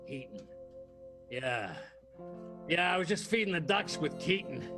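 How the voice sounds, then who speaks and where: A man playing a halting, smitten police detective in an improvised 1920s radio soap. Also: fairly high pitch, very animated, music in the background. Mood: earnest, confiding. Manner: halting, stammering.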